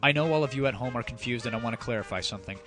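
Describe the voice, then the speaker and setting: A man's voice: mid-range voice, racing. Sarcastic man hosting over music. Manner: sarcastic, grandiose, addresses listeners directly. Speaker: comedy show host. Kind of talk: mock-dramatic radio-style commentary over music.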